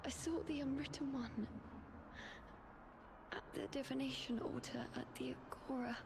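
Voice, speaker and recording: High, breathy, dazed young priestess. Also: female voice, steady tone, normal speed, background noise. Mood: dazed, weak. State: weakened, dizzy. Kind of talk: scripted video game voice acting.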